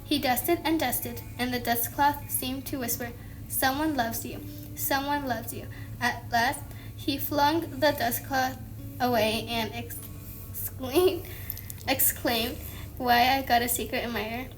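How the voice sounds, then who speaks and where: A woman reading a children's story aloud, haltingly, sometimes repeating a word or phrase. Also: high-pitched, fairly even intonation. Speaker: student reader. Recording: music playing behind the voice. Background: music.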